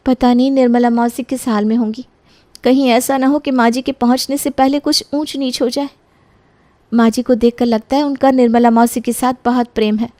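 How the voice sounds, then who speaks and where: A woman's voice: on the high side, fairly even intonation, moderate pace, dark tone, no echo, voice pushed up, lightly acted. A woman playing an anxious, polite young daughter-in-law in an audio story, speaking with earnest emotion. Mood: worried, prayerful.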